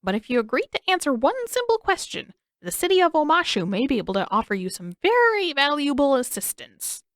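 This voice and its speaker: Woman doing a crazy old king voice, teasing riddler, kinda high, super dry mic. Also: huge pitch swings, brisk, few pauses, a bit bright, dry recording, heavily acted. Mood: sly, playful. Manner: theatrical, teasing, grinning riddler. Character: eccentric, manic old male king, cackling.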